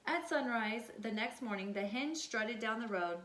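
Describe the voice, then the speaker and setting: A woman's voice: fairly high pitch, expressive, moderate pace, noisy background. A woman teacher reading a children's storybook aloud and checking in with her listeners in an instructive way. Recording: echoey room.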